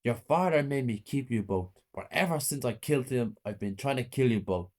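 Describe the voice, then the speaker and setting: A man's voice: medium pitch, lively intonation, normal speed, close to an everyday voice. Villain: man voicing a cruel mother, menacing, gloating, swooping intonation. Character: cruel murderous mother, cold and taunting.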